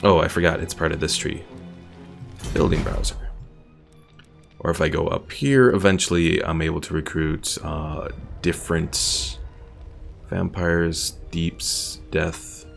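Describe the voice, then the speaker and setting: A man's voice: extremely low pitch, steady tone, unhurried, gravelly, faint music behind. A man giving casual gameplay commentary as a streamer, musing and thinking aloud as he goes. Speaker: game streamer.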